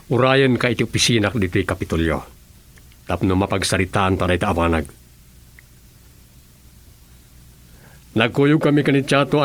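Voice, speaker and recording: Man acting a deep-voiced, principled governor. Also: close to an everyday voice. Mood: firm, formal. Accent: Ilocano. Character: principled, firm male provincial governor. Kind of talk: Ilocano radio drama acting.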